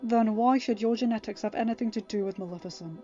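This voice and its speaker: A woman voicing an earnest, protective young king, speaking gently but emphatically to reassure someone in a story. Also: fairly high pitch, fast talker, lo-fi sound, soft background music, lightly acted. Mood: earnest, persuasive.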